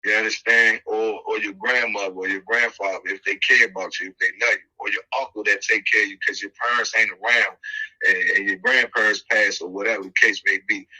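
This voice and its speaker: A man's voice: fairly low pitch, steady tone, fairly quick, sharp tone, breathy edge, poor audio. A middle-aged American man telling a personal story on a podcast in casual, slangy street talk, emphatic and acting out the dialogue as he goes.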